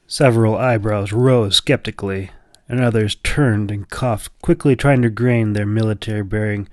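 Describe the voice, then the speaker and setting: A man narrating a fantasy audiobook in a dramatic, expressive, deliberate storytelling style. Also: on the low side, dry recording, clean studio sound.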